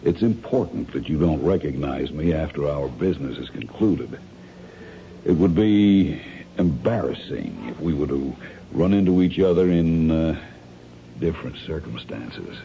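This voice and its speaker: An actor playing a polite, calculating masked crime planner in a radio drama, speaking in formal, measured, persuasive phrases. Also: male voice, rumbling low, expressive, mellow, full character voice. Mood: politely reassuring. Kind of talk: 1970s radio drama acting. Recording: dull, noisy old radio drama recording.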